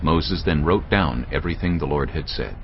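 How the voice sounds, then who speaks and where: A man narrating scripture from a script as a measured, expressive storyteller. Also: a bass voice, slight background noise. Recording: dull studio sound over clear music. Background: music.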